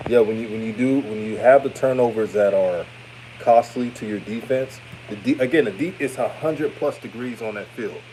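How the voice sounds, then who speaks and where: A man's voice: on the low side, moderate pace, mellow, slight background noise, low-quality recording. A thirty-year-old man with an older-sounding radio voice, hosting a casual sports livestream and chatting conversationally with viewers. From Houston, Texas, United States. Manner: conversational, addresses viewers as family. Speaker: sports show host. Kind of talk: casual livestream postgame chat, reading and answering comments.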